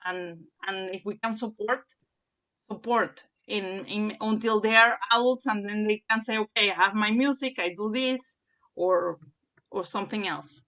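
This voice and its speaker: A woman speaking as a parent on a panel, answering hesitantly with many pauses and restarts. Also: medium pitch, sharp tone. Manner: hesitant, full of fillers and restarts. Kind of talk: panel discussion.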